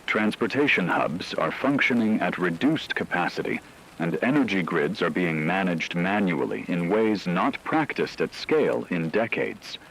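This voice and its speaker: A man voicing a grave radio news anchor in a dystopian crisis broadcast, reading scripted news in a measured, solemn, husky way. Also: low-pitched, expressive, a bit bright, slight background noise, heavily acted, low-quality recording.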